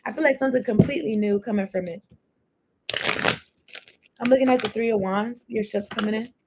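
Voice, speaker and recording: A woman's voice: fairly high pitch, brisk, very noisy. A woman doing a casual tarot reading, chatty and rambling as she free-associates between cards and thoughts, swearing now and then. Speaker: tarot card reader.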